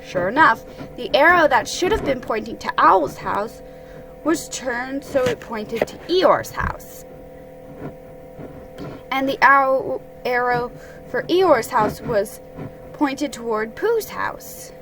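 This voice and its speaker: A woman storyteller giving an expressive, deliberate dramatic reading of a children's story. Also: high-pitched, music in the background, low-quality recording.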